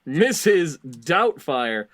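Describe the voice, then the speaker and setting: Male quiz host: high register, deliberate pacing, announcer-style readouts mixed with casual banter. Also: very animated, fairly bright tone. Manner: announcer-like, repeats contestants' answers back. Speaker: game show host. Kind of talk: lively scripted quiz hosting with casual banter.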